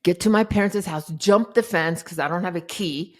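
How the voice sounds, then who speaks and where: A middle-aged woman, a life coach guest on a podcast, telling her story in a lively, dramatic way. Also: lowish voice, moderate pace. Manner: animated, dramatic retelling with acted-out quotes. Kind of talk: casual interview storytelling.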